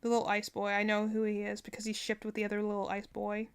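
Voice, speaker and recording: A woman's voice: mid-range voice, completely flat delivery, fast, clear, resonant tone. A woman giving casual reaction commentary as a streamer, rambling and often searching for her words. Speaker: streamer reacting to game character trailers.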